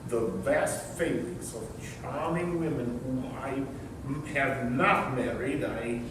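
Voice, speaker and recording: An actor playing a wry, formal bachelor uncle in a stage play reading, choosing hesitant and courtly words. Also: male voice, mid-range voice, close to an everyday voice. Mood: wry, halting. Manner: formal, hesitant, deliberate wording. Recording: echoey noisy hall from far off.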